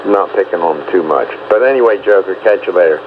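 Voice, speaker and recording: Low guy joshing with buddies on radio, tinny and loud static. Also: brisk. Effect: two-way radio. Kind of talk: casual chat, sign-offs.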